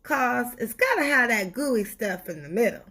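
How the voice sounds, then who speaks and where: Woman putting on a loud, demanding, high-pitched sweet tooth. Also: lively intonation, moderate pace, full character voice. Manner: whiny, impatient, bossy.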